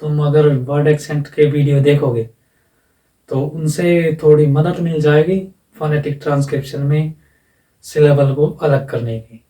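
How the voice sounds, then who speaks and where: A man gives a casual, plain lesson as a voice-over, asking questions and then answering them himself. His voice is mellow and warm. He sits a little back from the mic. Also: a little high, fairly even intonation, measured pace, recorded from a distance, poor audio. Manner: plain, repetitive explaining with rhetorical questions. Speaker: English teacher. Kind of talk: casual explanatory lecture. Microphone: voice-over, face not shown.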